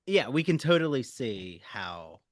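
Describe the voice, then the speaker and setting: A man's voice: medium pitch, sing-song, unhurried, dry recording, clean studio sound. A man hosting a podcast, chatting casually in a sympathetic, conversational way. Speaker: podcast host. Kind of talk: casual discussion.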